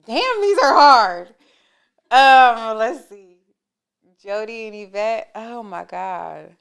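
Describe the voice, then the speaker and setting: A woman's voice: fairly high pitch, slow, gravelly, smooth. A woman in her early thirties, a podcast guest, telling stories animatedly and swearing as she laughs. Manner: animated storyteller, acts out friends' voices, swears. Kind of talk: casual chat.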